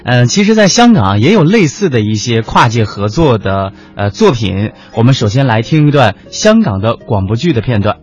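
Animated male radio host, standard Mandarin. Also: medium pitch, moderate pace, few pauses. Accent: mainland Chinese standard Mandarin. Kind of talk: conversational radio co-hosting. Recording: slightly dull audio with music underneath. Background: background music.

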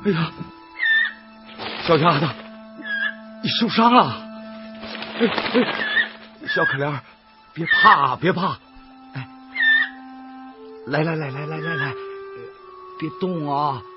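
Indignant bird protector, radio drama, tender-hearted, exclamatory, unhurried phrasing, loud music behind. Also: male voice, fairly high pitch, highs cut off. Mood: anxious, tender. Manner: excitable, exclamatory, colloquial. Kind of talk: Chinese radio drama acting.

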